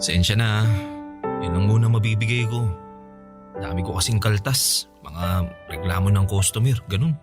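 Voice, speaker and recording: A man's voice: lowish voice, normal speed, long pauses, over loud music, natural voice. A man playing a weary, apologetic young husband in a Tagalog radio drama, speaking in a placating, subdued way. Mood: weary, frustrated.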